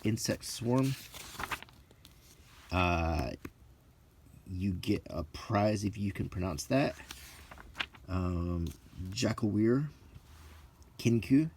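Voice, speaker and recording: A middle-aged man giving halting, offhand commentary as he leafs through books, listing items one by one with casual opinions. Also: lowish voice, animated, a little mellow, slight background noise. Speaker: presenter of a hobby video. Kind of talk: unscripted casual commentary while leafing through books, unintentional ASMR.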